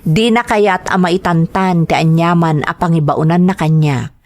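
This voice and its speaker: A woman's voice: on the low side, moderate pace, a little mellow, close to an everyday voice. A woman playing a meek, fearful young woman under an abusive lover's thumb in an Ilocano radio drama, pleading and stammering. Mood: sorrowful reflection.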